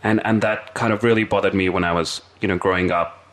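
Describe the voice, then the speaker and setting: A man's voice: deep voice, steady tone, fast talker, a bit bright. A man from India co-hosting a podcast, asking questions with playful, teasing asides. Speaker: podcast co-host. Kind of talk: casual interview.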